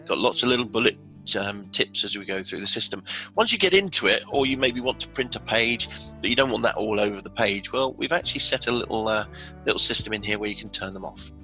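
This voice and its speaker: A man with a slightly low but bright, forceful voice leads a software tutorial. He explains each step aloud in an informal, conversational way, as if guiding someone through a screen. Faint music sits behind his voice. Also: fast, low-quality recording. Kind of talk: informal instructional screen walkthrough.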